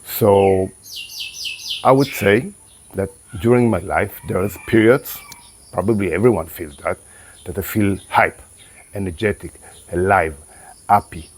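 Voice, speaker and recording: Deep-voiced man, calm and unhurried. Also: breathy edge. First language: Hebrew. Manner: calm, unhurried, with pauses and restarts. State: voice a bit closed after meditation. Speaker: qigong and tai chi teacher. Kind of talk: reflective spoken talk to camera.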